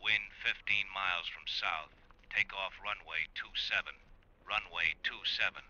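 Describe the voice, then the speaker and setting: A man's voice: low voice, steady tone, measured pace, pushed and bright, full character voice. A man playing a businesslike American air controller in a 1950s radio drama, reporting formally over a radio transmission. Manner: formal radio procedure delivery. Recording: muffled, noisy vintage radio recording.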